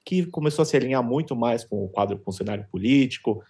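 A man giving a measured news roundup as a columnist on a talk show. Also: mid-range voice, lively intonation, a little mellow. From Brazil.

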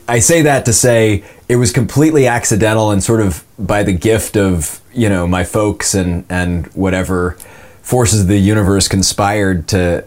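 A young American man with a low-pitched voice talks casually. He tells his stories in a rambling way, often poking fun at himself. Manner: rambling, self-deprecating. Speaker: podcast host and voice actor. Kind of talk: casual conversational storytelling.

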